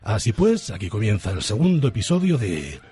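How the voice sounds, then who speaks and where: A man's voice: fairly low pitch, huge pitch swings, moderate pace, mellow, slightly rough, soft background music. A man with an accent from Spain, narrating comic radio in a theatrical, mock-grandiose manner. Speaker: radio segment host and narrator.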